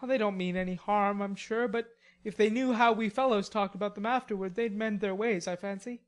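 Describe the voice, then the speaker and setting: A woman reading an audiobook as a chivalrous, teasing young college gentleman with a mock-superior air and old-fashioned gallant speech. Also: mid-range voice, steady tone, fairly quick, natural voice. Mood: indignant, amused. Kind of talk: audiobook character dialogue.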